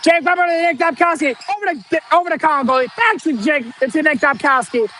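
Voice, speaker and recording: A man giving excited live basketball play-by-play, shouting the calls in a strained voice that is giving out. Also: very high voice, fairly quick. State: strained, losing his voice. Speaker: amateur play-by-play announcer. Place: indoor school gym. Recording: noisy gym with ball bounces.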